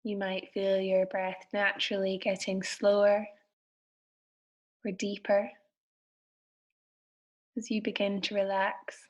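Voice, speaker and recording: A woman speaks as a meditation guide, with a medium-pitched voice. Her delivery is soothing and gentle, giving soft instructions in short, unhurried phrases with room left between them. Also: monotone.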